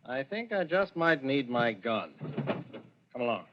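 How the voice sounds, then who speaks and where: A scornful radio-thriller villain, giving clipped orders with cool menace. The old recording is muffled, with faint noise behind the voice. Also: male voice, mid-range voice, very animated, a little slow. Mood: grim. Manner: curt, commanding, scornful. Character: menacing, sneering brother of a murdered man, holding a gun. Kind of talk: 1940s radio thriller acting. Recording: dull, noisy vintage radio recording.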